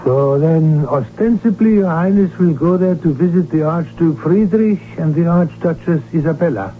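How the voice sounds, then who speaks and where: An actor in a radio drama playing a cautious royal doctor and confidant, formal and precise in speech. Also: male voice, on the high side, normal speed, slight background noise, close to an everyday voice. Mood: cautioning. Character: cautious, concerned male royal physician and confidant. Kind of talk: radio drama acting and in-character narration. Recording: dull vintage radio broadcast, faint music.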